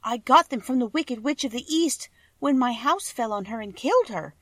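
Woman doing a meek earnest little girl, high and pleading. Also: expressive, moderate pace, fairly bright tone, no echo.

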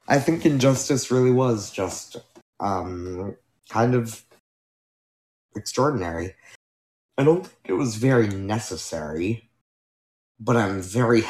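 Young male podcaster with a lowish voice, slow delivery, strained from losing his voice, chatty and self-correcting. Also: a little mellow, poor audio. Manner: rambling, self-correcting, with filler words. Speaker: podcast host. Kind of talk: casual solo podcast chat. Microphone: new microphone being tested.

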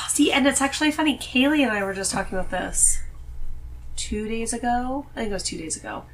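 A woman speaking casually as a guest in a relaxed podcast conversation. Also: on the high side, fairly quick, a little hiss or hum. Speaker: podcast guest, host's wife. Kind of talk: casual chat.

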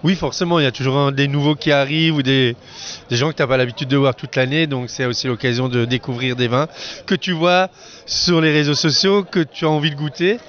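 A winemaker chatting in a relaxed way about discovering new wines. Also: male voice, mid-range voice, fairly quick, highs cut off. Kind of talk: casual interview answers. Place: outdoor festival.

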